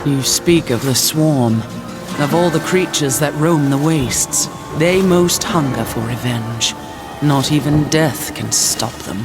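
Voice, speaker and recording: Solemn angel warrior over loud music. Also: female voice, slow. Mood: grave, foreboding. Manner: solemn, formal, stern. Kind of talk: dramatic video game acting. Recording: loud music behind, rumbling ambience.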